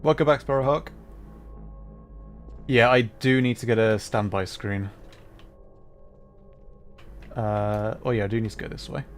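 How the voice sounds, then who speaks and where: A man's voice: fairly low pitch, fairly even intonation, measured pace, long pauses, soft background music. A man casually commentating live gameplay for his chat, thinking aloud and interrupting himself as he goes. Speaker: livestreamer, host.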